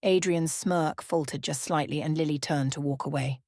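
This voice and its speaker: A woman's voice: low-pitched, moderate pace, darkish tone, no room sound, clean studio sound. A woman storyteller reading a scripted bedtime tale in an ASMR narration style.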